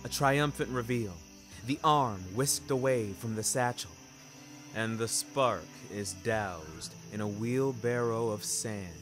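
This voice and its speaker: A man's voice: medium pitch, a bit bright. A man narrating scripted dramatic fiction in a wry, literary manner. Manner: wry, literary, deliberate phrasing. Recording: music bed behind narration.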